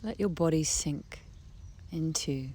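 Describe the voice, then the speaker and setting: A woman leading a guided meditation in a soft, breathy voice, speaking gently with long unhurried pauses. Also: sits low. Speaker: guided relaxation teacher. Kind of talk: guided meditation, soothing slow instruction. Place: outdoors beside a harbour. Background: birdsong, trickling stream, breeze.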